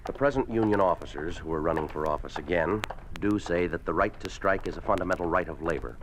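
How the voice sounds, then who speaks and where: An actor playing a cold, domineering communist official who speaks curtly in a 1950s radio drama. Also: male voice, low-pitched, fast, lightly acted. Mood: domineering, stern. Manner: curt, commanding, interrogating. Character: cold, domineering male Communist Party enforcer. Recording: noisy old 1950s radio broadcast.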